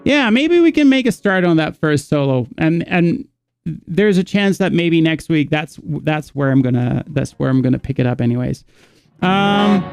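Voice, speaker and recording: A man's voice: fairly high pitch, gravelly, a little mellow, no room sound. A man from the Netherlands, teaching a live guitar lesson and rambling as he thinks aloud, stopping to restart his sentences.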